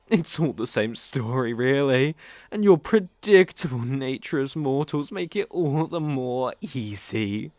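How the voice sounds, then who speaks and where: A man's voice: on the high side, creaky, a little mellow, no room sound, studio quality, exaggerated voice. A man with a British accent playing a sadistic, predatory werewolf in an ASMR roleplay, theatrical and menacing, savoring his words. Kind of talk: ASMR roleplay audio drama.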